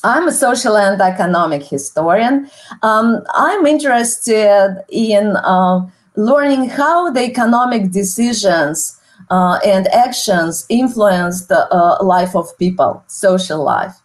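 Slow-speaking woman with a Russian accent. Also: mid-range voice, animated. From Soviet Union. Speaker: guest, history professor and author. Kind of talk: explanatory interview talk.

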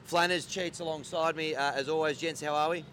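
A man's voice: a little high, fairly even intonation, a little fast, a bit bright, slight background noise. A man hosting a casual podcast, ribbing his co-hosts and handing them the floor. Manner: teasing, jokey, throws to co-hosts. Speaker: host.

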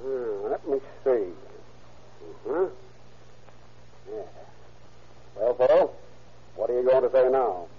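An actor playing a police lieutenant pressing a suspect with firm questions, in 1930s radio drama style. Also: male voice, mid-range voice, expressive, slow, pauses often, slight background noise. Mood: stern. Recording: dull lo-fi 1930s radio recording.

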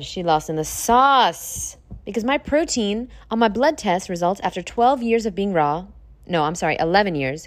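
A woman in her thirties hosting a podcast in a casual monologue, blunt and profane, preaching at her listeners and jumping into high emphatic peaks. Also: a little mellow. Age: 30s. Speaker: podcast host.

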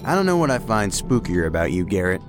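An actor playing a skeptical counterterrorism agent in an audio drama, blunt, sardonic and impatient. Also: male voice, low voice, very animated, quick pace, over music. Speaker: federal agent.

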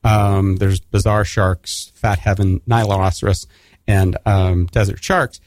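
A man's voice: deep voice, level tone, a little slow, darkish tone, no room sound, studio quality. A Canadian man hosting a casual radio interview, rambling with many restarts and fillers. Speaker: radio host.

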